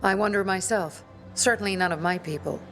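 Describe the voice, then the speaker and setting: A woman's voice: mid-range voice, a little fast, fairly bright tone, faint music behind, close to an everyday voice. An actress playing a stern, embittered young female rebel leader in a video game, firm and earnest. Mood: bitter, accusing. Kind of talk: video game dialogue acting.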